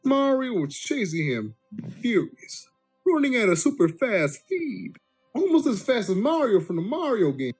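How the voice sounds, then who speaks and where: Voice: medium pitch, big pitch swings, measured pace, darkish tone, husky, faint music behind. A person giving a crude, mock-dramatic reading of a comic story, sometimes breaking off into blunt asides. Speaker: amateur story reader.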